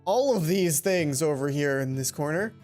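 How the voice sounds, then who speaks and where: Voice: medium pitch, expressive, moderate pace, darkish tone, faint music behind. A let's play host commentating casually on a video game, rambling and breaking off into asides. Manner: rambling, self-interrupting asides.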